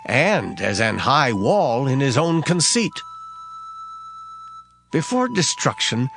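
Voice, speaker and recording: A man giving a solemn, measured, expressive dramatized reading of scripture. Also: mid-range voice. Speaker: scripture reader. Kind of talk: dramatized Bible audiobook reading. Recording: guitar music behind the voice. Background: plucked guitar music.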